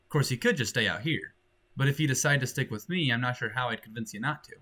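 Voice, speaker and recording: A man's voice: medium pitch, quick pace, sharp tone. A man voicing an earnest, weary frontiersman in a story, with folksy and hesitant rustic phrasing. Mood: resigned, earnest. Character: plainspoken one-armed frontiersman, earnest and weary.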